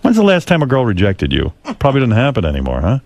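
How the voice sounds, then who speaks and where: A man's voice: mid-range voice, huge pitch swings, fast talker, darkish tone, dry recording. A middle-aged man hosting a radio talk show, interviewing a guest with blunt, teasing, provocative banter. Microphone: studio microphone.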